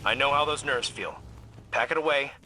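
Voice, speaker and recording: An actor playing a steadfast, determined veteran soldier in a video game, with a terse, plain-spoken delivery. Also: male voice, mid-range voice, moderate pace, noisy background, close to an everyday voice. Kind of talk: scripted game dialogue. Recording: music behind the voice.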